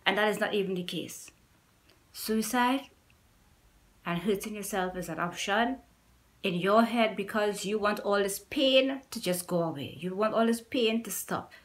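A woman's voice: mid-range voice, unhurried. A middle-aged woman with a Trinidadian accent talks straight into a nearby camera in a small room at home. She speaks in a mid-range voice, earnest and pleading, and keeps circling back to repeat her points. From Trinidad and Tobago. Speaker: YouTuber. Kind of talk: personal testimony to camera.

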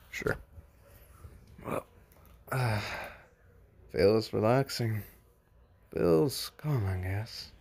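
A performer voicing an easygoing, hesitant young male hellhound in an amateur cartoon comic dub, speaking haltingly. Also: mid-range voice, animated, gravelly, darkish tone, breathy edge, voice pushed down, heavily acted. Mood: bashful, willing.

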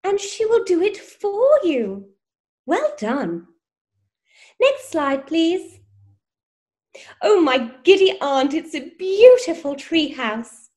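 An actress plays a prim lady teacher on a spoof children's show. Her voice is high and swings widely, with a slow, sing-song delivery. She is gushing and jolly, with condescension and menace underneath. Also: very animated, darkish tone, heavily acted. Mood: gushing, condescending. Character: prim, gushing, sinister children's TV schoolteacher woman. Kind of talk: parody children's show presenting.

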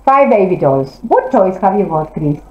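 A woman teaching English to children, encouraging them and gently correcting their answers in an interactive classroom lesson. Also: mid-range voice, big pitch swings, moderate pace. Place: classroom, indoors. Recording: roomy, slightly dull classroom audio.

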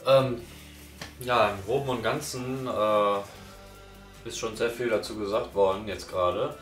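Tiefer Mann, entfernt und hallig. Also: male voice, fairly low pitch, steady tone, takes it slowly, a bit bright, reverberant, far from the mic, soft background music. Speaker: reviewer. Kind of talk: casual review chat. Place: small living room, on a sofa. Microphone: far camera.

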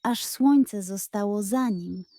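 A woman reads a children's bedtime story as a gentle, expressive storyteller. Her voice is mellow and fairly high, and she takes her time. The recording is close and dry. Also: takes it slowly, no echo.

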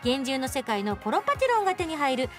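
A woman radio presenter with a high, animated voice. She speaks in an easy hosting style over music. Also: fast. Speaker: radio show host. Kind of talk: casual chat and scripted announcements. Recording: music behind the voice.

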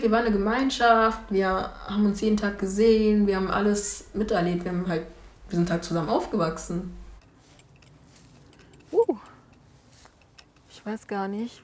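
A young woman in her twenties recalls things in a reflective, hesitant way, with restarts and fillers. Her voice sits at an ordinary middle pitch. The recording has a noticeable roomy echo from a lapel mic. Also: darkish tone. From Germany. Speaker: documentary interviewee. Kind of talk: reflective documentary testimony. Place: small room indoors and street outdoors.

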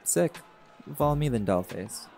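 A man's voice: mid-range voice, expressive, measured pace, vocal fry, darkish tone. An actor voicing a laid-back, teasing male jaguar shifter in an audio roleplay, blunt and flirtatious, with music playing behind.

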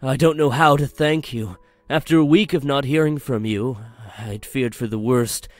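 A man's voice: mid-range voice, normal speed, dry recording, soft background music, lightly acted. Man acting an earnest, emotional, grateful father. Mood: relieved, grateful. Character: grateful, earnest middle-aged father of a rescued son. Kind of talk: dramatic visual novel acting.

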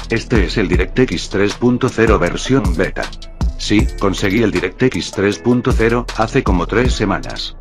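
A man in his thirties, a gaming YouTuber, calmly explaining things in voice-over narration. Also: fairly low pitch. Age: 30s. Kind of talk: voice-over narration over gameplay footage. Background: background music.

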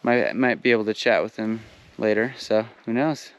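A man vlogging casually on a hiking trip, chatting in a relaxed way with plenty of fillers, recorded outdoors at a campsite. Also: lowish voice, moderate pace, lo-fi sound, a little hiss or hum. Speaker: vlogger, thru-hiker. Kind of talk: casual vlog chat. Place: outdoors at a mountain tent site.

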